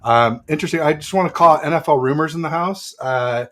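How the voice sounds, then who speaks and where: A man hosting a casual sports talk livestream, chatting in a halting way with frequent pauses. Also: on the high side, fairly bright tone. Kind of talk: casual sports chat with a guest and live chat.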